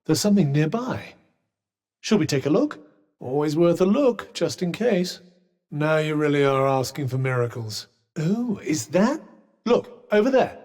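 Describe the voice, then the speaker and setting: A man's voice: fairly high pitch, big pitch swings, measured pace, a little mellow, long reverb tail. An actor playing a wry, teasing male magic cuff in a game, speaking in a well-spoken, sardonic way. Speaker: game companion character. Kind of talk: game voice lines.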